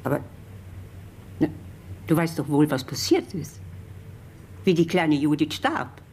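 Grave, hesitant woman informant, radio drama, very low voice over rumbling noise. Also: unhurried, a little mellow. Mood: grave, hushed. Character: grave woman informant revealing a family tragedy.

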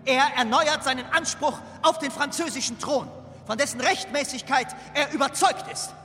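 A man's voice: very high-pitched, normal speed, pushed and bright, full character voice. An actor playing a medieval English bishop, speaking formally and oratorically for his king in a radio drama. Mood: formal, resolute. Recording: long hall reverb, faint music.

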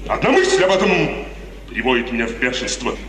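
A man's voice: mid-range voice, sing-song, brisk, fairly bright tone. An actor playing a stern, proud, suspicious middle-aged father in a declamatory 1950s Russian radio drama. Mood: indignant. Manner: declamatory, emphatic. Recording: noisy, reverberant 1950s radio recording, distant.

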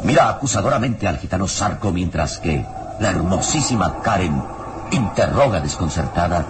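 A man's voice: low-pitched, expressive, moderate pace, heavy background noise. A man narrating a radio serial from a script in a dramatic, suspenseful and emphatic style. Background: dramatic music.

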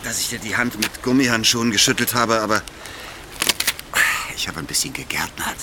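Casting: male actor as slippery, genial-seeming villain, lowish voice, very lively intonation, radio drama with noisy ambience. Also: fairly quick, close to an everyday voice. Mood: falsely genial. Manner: falsely genial, feigning surprise. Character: smooth-talking, evasive male villain at his dacha. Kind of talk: radio play acting.

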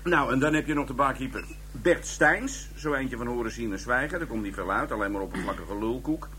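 A man's voice: mid-range voice, animated, brisk, bright, forceful tone, a little hiss or hum. An actor playing an offhand, sardonic police detective giving his report in a Dutch radio drama. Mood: wry, offhand. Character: wry, colloquial male police detective reporting findings.

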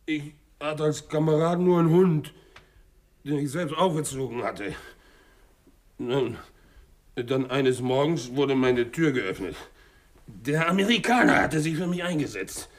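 An actor playing a bitter, vengeful French sailor and former convict in a 1960s German radio crime drama, telling his story haltingly and with feeling. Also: a little high, close to an everyday voice. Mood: brooding recollection. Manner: halting, stammering, emotional storytelling.

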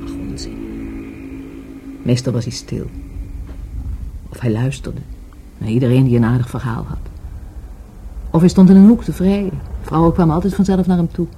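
An actress playing a wistful, grieving lover in a radio play, hesitant and halting as she searches for words. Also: low voice, warm tone, a little hiss or hum. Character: grieving, wistful lover of a murdered market trader. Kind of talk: Dutch radio play acting.

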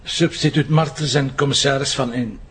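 A man's voice: mid-range voice, steady tone, moderate pace, natural voice. A man with a Flemish accent playing a wry, grumbling police commissioner with dry delivery in an audio drama. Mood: official, brisk. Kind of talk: naturalistic audio drama dialogue. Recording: faint background noise.

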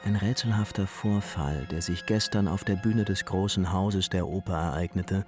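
An actor playing an impassioned nineteenth-century newspaper chronicler in a radio play, declamatory and grandiose. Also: male voice, deep voice, moderate pace, over music, natural voice. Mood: urgent, grave. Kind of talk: dramatic period news report.

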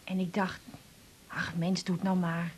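A woman's voice: lowish voice, little pitch movement, unhurried, a little mellow, a little hiss or hum, close to an everyday voice. An actress playing a hurt, chatty middle-aged mother confiding in a colloquial, rambling way, in a naturalistic radio drama. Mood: wistful, sad. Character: hurt, chatty mother back from a failed holiday.

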